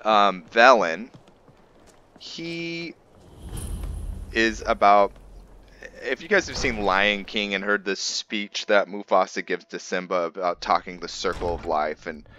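A man giving casual commentary on his roleplay playthrough, haltingly thinking aloud as he goes. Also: lowish voice, bright, forceful tone, lo-fi sound, slight background noise. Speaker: gamer narrating a roleplay playthrough.

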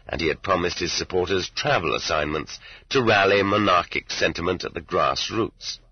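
Male narrator, low husky register, expressive literary reading, muffled cassette-era sound. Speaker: audiobook narrator. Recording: dull lo-fi roomy cassette audiobook.

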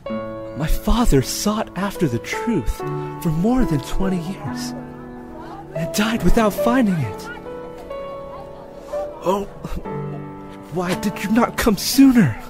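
An actor playing an earnest Chinese seeker of truth in an audio drama, solemn and heartfelt. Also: male voice, high-pitched, expressive, slow pace, pauses often. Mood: anguished. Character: earnest Chinese man, seeker turned joyful new believer. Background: music.

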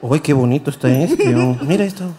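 A man's voice: medium pitch, animated, a little fast, dark tone. A man in his thirties with a Peruvian accent, hosting a comedy show and playfully teasing the audience on a handheld mic. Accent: Peruvian Spanish. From Peru. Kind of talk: live crowd-work banter. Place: large theater stage with audience. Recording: live theater with crowd and music.

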